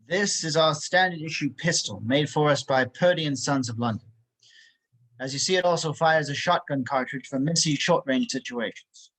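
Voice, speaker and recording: A man playing a stern, dry-witted spy instructor in an amateur table read, crisp and matter-of-fact. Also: fairly high pitch, moderate pace, a bit bright, rough recording. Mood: brisk, stern.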